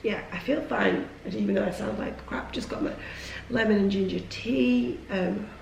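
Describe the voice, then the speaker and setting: A woman's voice: mid-range voice, moderate pace, gravelly, darkish tone, echoey room, slight background noise, rough recording. A young woman who has lost her voice after a cold, chatting casually and rambling to camera from home. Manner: chatty, rambling, self-deprecating. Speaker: vlogger. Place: at home, indoors.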